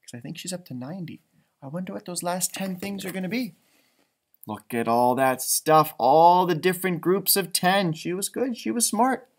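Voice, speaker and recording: A man's voice: high voice, lively intonation, normal speed. A man in his thirties reading aloud expressively for children, with chatty asides and musing exclamations. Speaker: teacher, storytime host. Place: indoors, school library.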